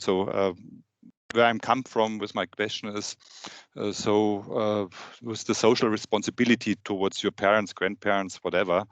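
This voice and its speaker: A man with a German accent asking a long question on a webinar, pausing often with "uh". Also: sits low, no room sound. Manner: hesitant, many "uh" pauses. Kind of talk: casual question.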